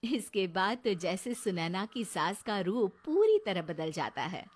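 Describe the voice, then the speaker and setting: A woman's voice: medium pitch, big pitch swings, measured pace. A woman narrator telling a scripted cartoon story.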